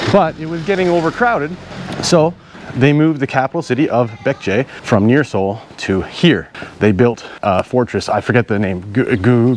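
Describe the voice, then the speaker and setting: A man's voice: medium pitch, a little mellow, slight background noise. A man in his thirties filming a casual travel vlog outdoors at arm's length, thinking aloud as he wanders without a plan. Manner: easygoing, thinking aloud, unplanned. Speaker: travel vlogger. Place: outdoors in parks and streets. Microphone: handheld camera at arm's length.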